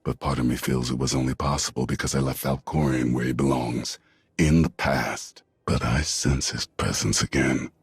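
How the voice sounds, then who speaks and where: An actor voicing a solemn, self-doubting reformed warrior in a video game, with a halting, grave delivery. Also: male voice, steady tone, dry recording, full character voice. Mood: troubled, fearful. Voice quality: very deep, husky. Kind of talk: video game cutscene acting.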